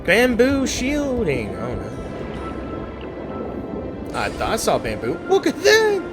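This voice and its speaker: A man's voice: very high-pitched, wildly swooping pitch, slow. A man giving casual gameplay commentary and reading game text aloud as he plays. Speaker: gaming video creator. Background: game music.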